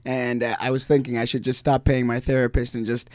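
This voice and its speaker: A man's voice: medium pitch, level tone, brisk, a little mellow, no room sound, clean studio sound. A young man from Argentina, an actor chatting as an interview guest in an earnest, self-deprecating way. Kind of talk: casual interview chat.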